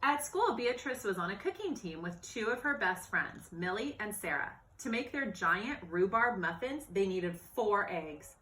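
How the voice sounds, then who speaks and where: A woman reading a children's storybook aloud in an expressive, animated narrating style. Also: medium pitch, normal speed, few pauses, slight background noise. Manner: expressive, acts out dialogue and exclamations. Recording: roomy, echoey sound.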